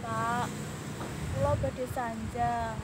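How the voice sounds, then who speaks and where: A woman's voice: on the high side, little pitch movement, drawn-out words. A young woman with a Javanese accent playing a dutiful, timid schoolgirl daughter, speaking politely and deferentially to her father on an outdoor porch. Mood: pleading. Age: young adult. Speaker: student theater actress. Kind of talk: amateur short film acting. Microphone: far camera. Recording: loud outdoor noise.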